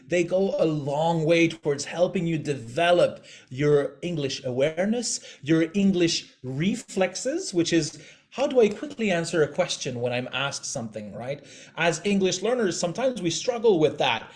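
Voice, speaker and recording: High-pitched guy hosting a group call, chatty and encouraging. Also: lively intonation, moderate pace. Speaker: host.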